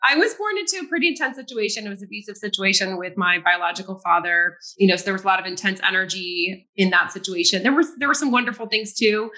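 A woman's voice: medium pitch, fast, few pauses, sharp tone, rough recording. A woman who grew up in France with French as her first language, talking earnestly and reflectively as an interview guest, laughing now and then. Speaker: guest, psychic. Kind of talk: casual interview conversation.

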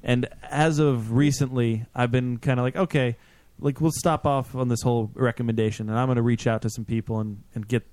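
A man's voice: medium pitch, steady tone, fairly quick, hardly any pauses, darkish tone, no echo. A man in his late twenties hosting a podcast, rambling through crude, self-deprecating banter on a studio mic. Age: 20s. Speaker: podcast host. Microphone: studio microphone with headphones.

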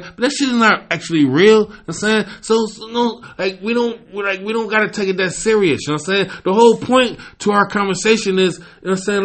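A man's voice: high voice, fairly bright tone. A man in his forties hosting a podcast, arguing emphatically in casual, profane talk and often asking "you know what I'm saying". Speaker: podcast host. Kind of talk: heated casual debate.

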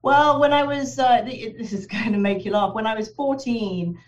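A woman's voice: on the high side, normal speed, distant mic, muffled recording. A woman from southern England, a company HR director, talking earnestly in a conversational interview with frequent fillers and restarts. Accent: southern English. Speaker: HR director, podcast guest.

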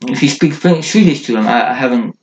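A man talks casually, rambling and joking as he circles back and restarts his sentences. His voice is mid-pitched and the recording sounds a little dull, with faint noise underneath. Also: expressive, fairly quick. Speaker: podcast co-host, multisport athlete. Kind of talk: casual chat.

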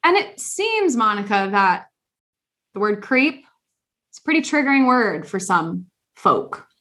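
A woman co-hosting a podcast in a casual chat, animated, laughing often and talking with many fillers. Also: medium pitch, unhurried. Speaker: podcast co-host.

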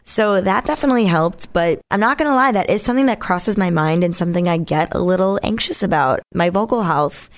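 A woman with a naturally raspy voice, a singer being interviewed, talking casually in a candid, reflective way. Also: lowish voice, a little fast, few pauses. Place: radio studio.

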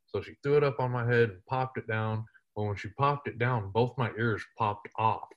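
A man's voice: medium pitch, moderate pace, dull audio. A man from West Texas, telling humorous stories as an interview guest. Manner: lighthearted, humorous storyteller. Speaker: interview guest, military veteran. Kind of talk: storytelling in casual interview.

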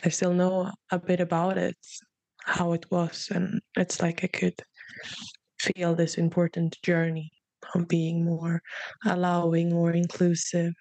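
A young woman speaking softly and hesitantly, sharing heartfelt reflections in a conversation. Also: on the low side, completely flat delivery, measured pace, dry recording, poor audio. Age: young adult.

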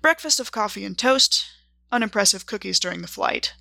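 A woman's voice: medium pitch, animated, a little fast, vocal fry, sharp tone, dry recording, full character voice. A woman playing a wry, precise traveler reading out her journal as scripted narration, dry and articulate.

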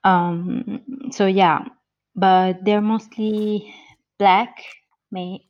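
A woman in her thirties with an Italian accent, a guest on an interview podcast who answers slowly and hesitantly, with many pauses and restarts. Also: mid-range voice, level tone, lo-fi sound. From born in the US, grew up in Italy.

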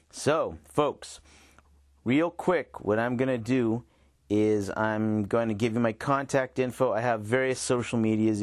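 Laid-back guy podcaster, kinda low voice, slow casual talk, dry close sound. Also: a little mellow, dry recording. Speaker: podcast host. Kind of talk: casual solo chat between played recordings.

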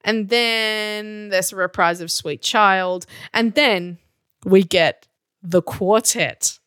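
A woman's voice: medium pitch, takes it slowly, a bit bright, clean tone. A woman co-hosting a podcast, bluntly picking apart a stage show with exasperated swearing. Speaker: podcast co-host. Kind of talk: casual chat reviewing a musical.

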